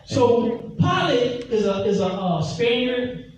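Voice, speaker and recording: A man's voice: very high voice, animated, slow pace. A man preaching an emphatic teaching sermon, repeating his scripture references and drawing responses from the room. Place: large echoey hall, heard from far off. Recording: echoey hall, distant mic, faint noise.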